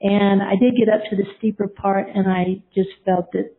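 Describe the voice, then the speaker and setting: A woman's voice: medium pitch, little pitch movement, normal speed. A middle-aged woman sharing a personal testimony, telling her story earnestly and rambling, with many fillers and restarts. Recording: tinny phone-like line.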